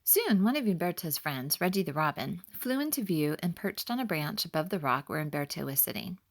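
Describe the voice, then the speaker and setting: A woman narrating her own children's story in an expressive, clearly enunciated manner. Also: fairly low pitch, a little fast. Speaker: author reading own story.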